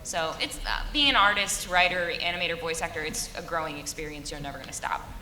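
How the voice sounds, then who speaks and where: A woman's voice: low-pitched, fast, sharp tone. A woman writer speaking casually on a convention panel, rambling and laughing often. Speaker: writer on an animation team panel. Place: large convention hall. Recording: echoey hall, faint room noise.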